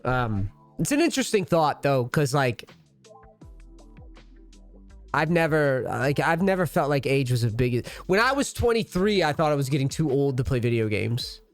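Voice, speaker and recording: A man in his thirties talks loosely and profanely, drifting from point to point like a gaming coach thinking out loud. His pitch sits in an ordinary middle range. A little music plays faintly behind him. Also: big pitch swings, moderate pace. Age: 30s. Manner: rambling and profane, loose slang. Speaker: League of Legends coach and streamer. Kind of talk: casual commentary.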